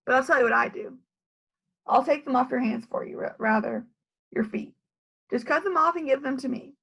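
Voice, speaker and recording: A woman's voice: high voice, normal speed, vocal fry, a bit bright, breathy edge, exaggerated voice, low-quality recording. A woman voicing a teasing, persuasive male teacher who haggles in a storybook. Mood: mock serious.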